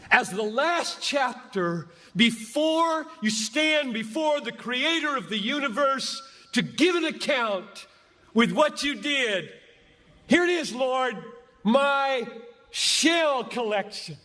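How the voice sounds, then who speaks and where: A man preaches in a high, bright, forceful voice. He speaks slowly and emphatically, pleading and repeating phrases for dramatic weight. Long reverb from a large hall surrounds his words. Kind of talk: impassioned sermon to a large gathering.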